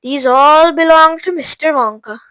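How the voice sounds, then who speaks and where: A woman's voice: high voice, moderate pace, sharp tone, no room sound, pitched above the performer's own voice. A woman voicing an indulgent rich mother who soothes her spoiled daughter. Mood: soothing. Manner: soothing, placating.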